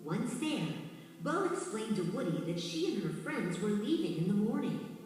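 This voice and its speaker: A woman's voice: mid-range voice, sing-song, normal speed, a little mellow. A woman reading scripted children's storybook narration as the narrator of a read-along. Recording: very echoey distant hall, music underneath.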